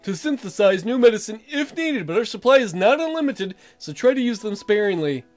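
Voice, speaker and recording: Man putting on a high-voiced, halting colony mayor. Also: sing-song, darkish tone, soft background music. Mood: practical, explaining. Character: colony mayor, practical, official, faintly goofy leader.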